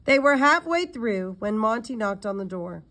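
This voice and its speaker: Higher-voiced woman reading a story aloud. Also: normal speed. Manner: expressive reading with occasional stumbles and self-corrections. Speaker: audiobook reader. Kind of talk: scripted story narration read aloud.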